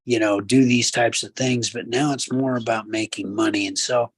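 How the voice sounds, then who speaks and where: A man with a medium-pitched voice gives commentary as a radio co-host. He is measured and conversational, slipping into preacherly asides to his listeners. The recording is close and dry. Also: fairly even intonation, vocal fry, husky, dry recording. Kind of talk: casual talk show commentary.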